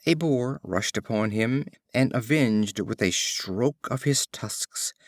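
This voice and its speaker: A man narrating an audiobook of classic fables, reading in an expressive, measured way. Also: mid-range voice, no echo. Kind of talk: scripted storybook narration.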